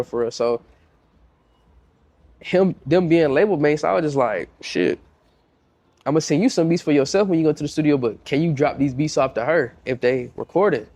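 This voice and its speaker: Congested young man, slangy and lively. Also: a little high. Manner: slangy, repeats himself, lively storytelling. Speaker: music producer guest. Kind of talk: casual podcast interview.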